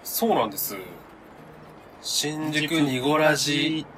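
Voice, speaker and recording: A man co-hosting a podcast in casual chat with friends, playful and quick to break into laughter as he talks. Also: on the high side, noisy background. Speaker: podcast co-host.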